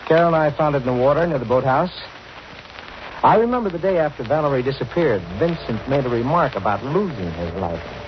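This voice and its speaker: Male actor as wary, insistent friend, medium-pitched, intent, on a muffled vintage radio recording. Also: animated, a little fast, a little hiss or hum, natural voice. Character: earnest, cautious male friend warning of danger. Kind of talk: 1940s radio acting.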